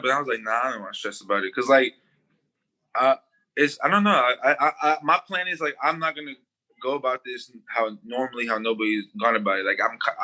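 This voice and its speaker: A man speaks in quick, bright, forward bursts, circling back on himself with restarts. His talk is loose and profane, the casual chat of a guest on a podcast. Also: mid-range voice, rough recording. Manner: loose, rambling, swears freely, many restarts. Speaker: guest musician.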